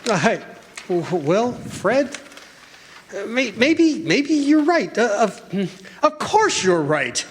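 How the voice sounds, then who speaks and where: A man playing a gentle, flustered old Santa Claus in a radio drama, with halting speech. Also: high voice, sing-song, exaggerated voice. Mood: sheepish, halting. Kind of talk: stage radio drama acting. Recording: echoey hall, long reverb.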